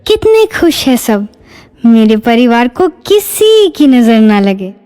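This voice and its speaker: A man voicing an irritable middle-aged village housewife, scolding and shrill. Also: sing-song, takes it slowly, a little mellow, clean tone, exaggerated voice. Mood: happy.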